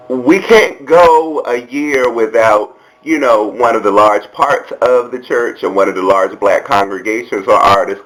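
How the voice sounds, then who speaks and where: A man with a fairly low voice and an American accent talks casually to his viewers. He is candid and sassy, with a colloquial, off-the-cuff delivery as he comments like a video blogger. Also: a bit bright, rough recording.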